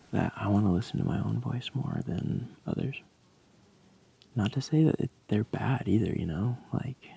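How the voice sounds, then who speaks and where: A young man talking candidly to his camera in a loose, soft-voiced way, swearing often and laughing at himself. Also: lowish voice, vocal fry, husky. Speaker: vlogger. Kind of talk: casual reflective talk to camera.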